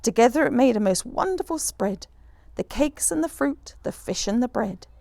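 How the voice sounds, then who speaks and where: A woman reading a rhyming children's picture book aloud in an expressive, rhythmic storyteller's manner. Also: on the high side, moderate pace, vocal fry. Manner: animated, rhythmic rhyming delivery.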